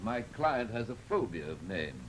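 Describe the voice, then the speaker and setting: Sinister, formal, swooping-voiced go-between. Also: male voice, lowish voice, very animated, normal speed. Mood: coolly polite. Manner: precise, insinuating, formal. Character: sinister, formal, coldly polite male go-between. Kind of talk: old-time radio drama acting. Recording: noisy vintage radio recording.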